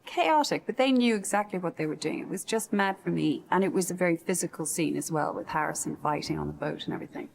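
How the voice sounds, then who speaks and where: An actress recounts her time on set in an animated, easy way. Her voice sits low with a gravelly edge. A faint wash of ambient noise runs under the recording. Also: fast talker, few pauses. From Ireland. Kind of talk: reflective interview recollection.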